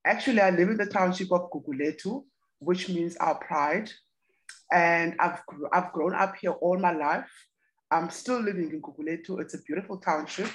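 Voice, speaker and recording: A woman's voice: fairly low pitch, normal speed, low-quality recording. A woman from South Africa with a South African accent, a podcast guest, introducing herself slightly hesitantly. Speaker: podcast guest, community founder. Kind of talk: casual interview talk.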